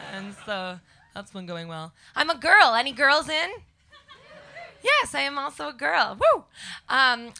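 A woman's voice: on the high side, gravelly. Scratchy-voiced Canadian woman doing stand-up. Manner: deadpan one-liners to a crowd. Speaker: stand-up comedian guest. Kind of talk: stand-up set on a live podcast.

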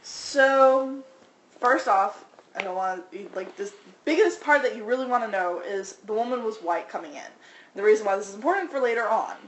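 A woman with a small, soft voice, telling restaurant-work stories in casual chat and mimicking customers. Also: a little high, expressive, moderate pace, roomy sound, a little hiss or hum, poor audio. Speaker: restaurant worker, podcast guest.

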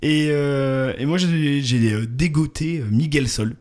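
A man's voice: on the high side, unhurried, a little mellow. A man co-hosting a local radio show, casually presenting a musician's biography, often hesitating with fillers and joking about his own pronunciation. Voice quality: hesitant, many "euh" fillers and restarts. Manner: jokey, apologizes and laughs at his own pronunciation. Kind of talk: casual radio chat, reading an artist bio.

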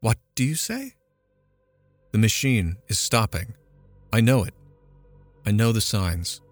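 A man's voice: sing-song, slow, faint music behind. A man reading a story aloud, voicing a fretful, petulant mother in a raised, complaining voice. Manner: complaining, indignant, raised pitch.

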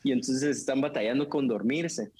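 A man who preaches, talking casually in conversation, animated and quick, with filler words and laughs. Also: mid-range voice, breathy edge.

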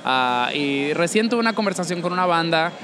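A man's voice: on the high side, lively intonation, moderate pace, a crowd in the background. A man interviewing a guest in a casual way, chatty and slipping English words into his talk.

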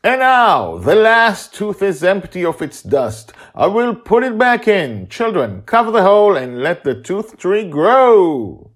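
Storybook father, played by a man, solemn and ceremonial, pitch lifted high above his normal talk, grand drawn-out phrases. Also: sing-song, exaggerated voice. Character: Justin, father leading children in a solemn magical ritual.

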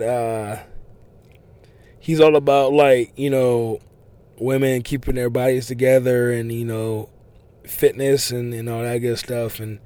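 A man hosts a raw, unedited podcast, talking in a loose and rambling way with plenty of fillers and false starts. His voice sounds slightly hoarse and worn from talking too much. His pitch sits in an ordinary middle range for a man. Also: no echo. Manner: rambling, many fillers and restarts. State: losing his voice, a bit hoarse. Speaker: podcast host. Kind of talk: unedited casual podcast monologue. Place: restaurant.

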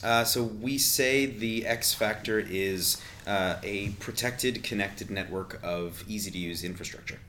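A man's voice: on the low side, measured pace, few pauses, bright, forceful tone, slight background noise. A man from Montreal with a Canadian accent, a guest explaining his urban consulting work in an earnest, explanatory way.

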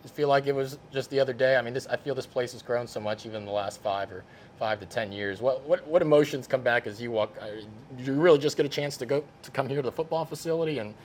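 A man hosting a casual interview podcast, talking in an animated, self-deprecating, conversational way. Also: mid-range voice, quick pace, slight background noise. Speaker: podcast host, play-by-play sportscaster.

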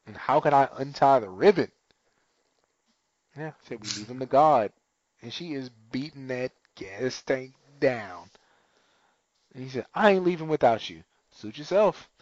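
A man chatting casually about a show, his pitch swinging widely as he retells scenes and slips into characters' lines. The recording is dry and a little dull, like a home podcast setup. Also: expressive, measured pace, long pauses, a little mellow, no room sound. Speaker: TV recap podcaster. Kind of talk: casual chat, recapping an episode.

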